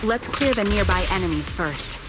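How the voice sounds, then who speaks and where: A woman's voice: medium pitch, lively intonation, moderate pace, heavy background noise, natural voice. A woman playing a focused young female squad soldier in a video game, businesslike and level-headed. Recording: loud music behind the voice.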